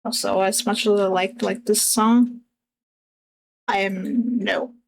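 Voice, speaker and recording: A young woman with a husky voice, chatting casually with her stream audience while she draws, halting often with fillers and restarts. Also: medium pitch, fairly even intonation, background noise.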